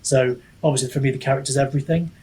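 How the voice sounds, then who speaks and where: A man's voice: mid-range voice, steady tone, racing, a little mellow, husky. A man chatting reflectively as an author being interviewed, hesitant and self-deprecating, often wandering mid-thought. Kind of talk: casual reflective podcast chat.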